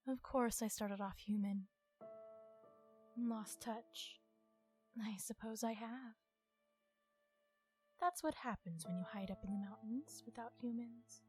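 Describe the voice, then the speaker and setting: Woman acting a slow, haughty ancient female vampire. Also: a little high, music in the background. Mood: stern, composed. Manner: haughty, measured, formal phrasing, often hushed. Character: centuries-old female vampire, stern, irritated, possessive, coolly refined. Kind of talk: ASMR roleplay monologue.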